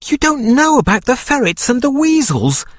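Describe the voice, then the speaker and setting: A man's voice: extremely high pitch, sing-song, moderate pace, no echo, studio quality, pitched above the performer's own voice, exaggerated voice. A man reading a storybook and voicing a serious male water rat who lectures his friend. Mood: stern. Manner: stern, lecturing.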